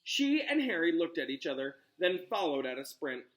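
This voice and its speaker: A man reading a storybook aloud like a teacher, expressive and dramatic, pausing on key words. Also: fairly high pitch, low-quality recording.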